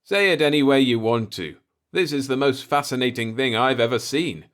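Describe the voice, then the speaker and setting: A man's voice: mid-range voice, moderate pace, fairly bright tone, voice pushed up, natural voice. A man voicing an eager, brash human explorer, emphatic and argumentative, in a science fiction story. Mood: insistent.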